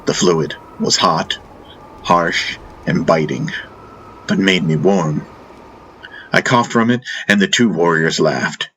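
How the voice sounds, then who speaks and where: Low-voiced man narrating fantasy, forceful. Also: slow pace, pauses often, a little hiss or hum, low-quality recording. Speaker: audiobook narrator. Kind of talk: dramatic scripted first-person fantasy narration. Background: faint music.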